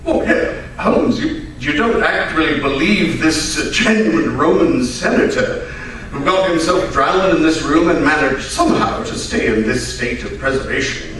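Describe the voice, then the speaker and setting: A man plays a blustery, grumbling detective's sidekick in a radio play. His fairly high voice swoops about with incredulous exclamations. It is heard at a distance in a very echoey space. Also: expressive, normal speed, a bit bright. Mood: astonished, skeptical. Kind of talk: old-time radio drama acting. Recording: echoey live stage, distant mic, faint noise.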